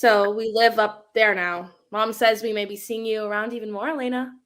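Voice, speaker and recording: A woman's voice: mid-range voice, fairly even intonation, moderate pace, a bit bright, natural voice. A woman playing a polite, upbeat teenage shop girl in a dramatic script reading. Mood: cheerful, polite.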